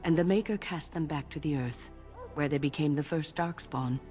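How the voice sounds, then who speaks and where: A woman playing a kindly, composed older female mage in a fantasy video game, speaking in a measured, gently instructive way. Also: sits low, soft background music, natural voice. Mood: grave, instructive.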